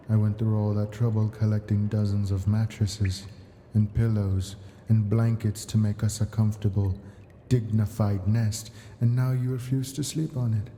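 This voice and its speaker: Man voicing a dark-voiced, possessive ancient dragon. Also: lowish voice, slight background noise. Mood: hurt, exasperated. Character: ancient male dragon, possessive, doting, wounded hoarder of treasures. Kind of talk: slow intimate ASMR audio roleplay.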